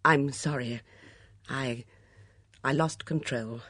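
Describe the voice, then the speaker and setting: A woman's voice: lowish voice, normal speed, dry recording, pitched above the performer's own voice, natural voice. A woman voicing an anxious, weary and proper middle-aged Englishwoman in an audiobook, with a strained, emotional manner. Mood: stiffly apologetic.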